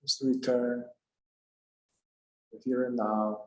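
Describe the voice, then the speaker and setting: A man with a mid-pitched voice guides a meditation in a roomy, echoing space. He is calm and takes his time, leaving long pauses between short, simple phrases.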